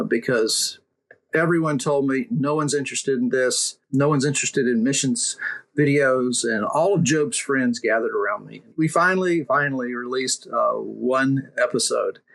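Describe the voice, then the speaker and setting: A man's voice: mid-range voice, moderate pace, creaky, a bit bright, poor audio. A male interview guest speaking earnestly, often restarting and repeating words as he recounts his work. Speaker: podcast guest, missions filmmaker. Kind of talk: conversational interview.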